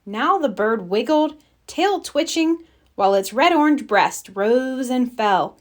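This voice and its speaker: A woman's voice: a little high, lively intonation, slow pace. A woman narrating a scripted children's story as a read-aloud.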